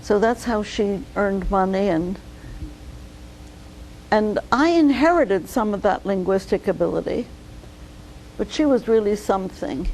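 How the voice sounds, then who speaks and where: An older woman, a physician, telling her life story slowly and thoughtfully in an oral history interview. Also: mid-range voice, animated, a little mellow, slight background noise, low-quality recording. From United States. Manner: measured, reflective storytelling.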